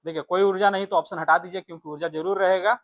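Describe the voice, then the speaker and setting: A man's voice: sits high, steady tone, very fast. A man teaching an exam-prep lesson, explaining instructively and repeating key points. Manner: instructive, repeats points and reads questions aloud in Hindi and English.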